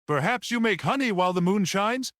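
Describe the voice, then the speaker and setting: A man voicing an enigmatic storybook gentleman who needles politely in an arch, probing way. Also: sits high, animated, a little fast, no echo, studio quality, voice pushed up. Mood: needling.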